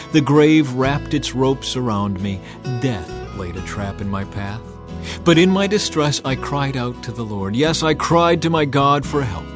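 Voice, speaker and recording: A man narrates an audio Bible in a solemn, measured voice of medium pitch. His delivery is scripted and dramatized, with firm emphasis on the phrases. Music plays underneath him. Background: background music.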